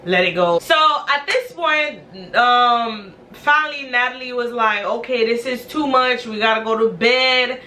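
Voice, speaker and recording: A woman's voice: on the high side, a little slow, hardly any pauses, pushed and bright. A woman casually recapping a reality show, chatty and blunt with slang and frequent laughs. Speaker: recap vlogger.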